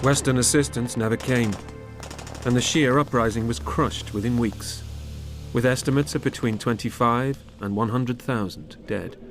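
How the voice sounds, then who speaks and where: A man narrating a documentary from a script in a measured, grave manner. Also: mid-range voice, steady tone. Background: music under the voice.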